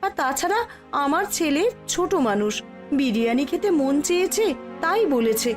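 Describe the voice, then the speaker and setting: A humble village mother, acted by a woman in a cartoon tale, with a high voice. She speaks earnestly and respectfully, with music underneath. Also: big pitch swings, normal speed. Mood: hurt, plaintive. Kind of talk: cartoon story voice acting.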